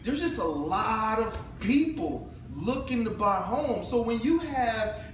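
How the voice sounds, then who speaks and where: Animated man in an echoey room. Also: high-pitched, slow pace. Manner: animated, playful banter with repeated phrases. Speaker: realtor co-host. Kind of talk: casual promotional chat. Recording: echoey room from far off, noisy.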